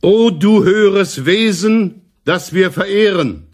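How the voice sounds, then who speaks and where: Pompous professor, slow and higher-pitched. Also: male voice, darkish tone. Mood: grandly declamatory. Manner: solemn, declamatory, drawn-out phrasing. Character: pompous older male professor and essayist. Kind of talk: radio drama acting.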